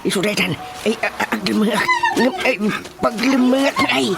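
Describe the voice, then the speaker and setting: A woman's voice: fairly high pitch, normal speed. A woman playing a flustered, stammering character in a radio comedy drama, full of groans and exclamations. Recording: clear music behind the voice.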